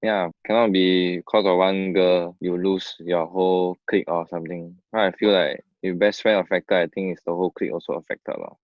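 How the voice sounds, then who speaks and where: A man's voice: low-pitched, moderate pace, few pauses, dry recording. A man in his twenties with a Singaporean English accent, joking casually in a group chat. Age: 20s. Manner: joking, relaxed, ends lines with lah. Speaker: panel guest. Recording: dull dry lo-fi sound.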